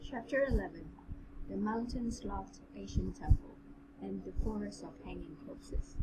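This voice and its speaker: Amateur female story narrator, mid-pitched, reading fantasy prose, very noisy home recording. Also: moderate pace. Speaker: co-host and narrator of a novel reading. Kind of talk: amateur narration of a fantasy novel with casual commentary.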